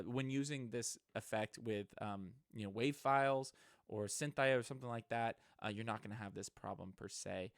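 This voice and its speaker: Creaky-voiced man, casual tech demo. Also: mid-range voice, dry recording, studio quality. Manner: informal, hesitant, explanatory. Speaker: presenter, electronics hobbyist. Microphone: close microphone into an audio interface.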